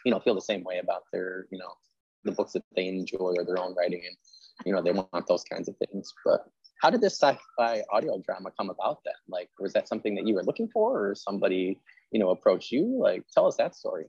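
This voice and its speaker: Deep, gravelly man chatting casually. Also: animated, fast talker, a little mellow, no room sound, highs cut off. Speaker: podcast host interviewing an author. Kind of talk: casual, rambling interview chat.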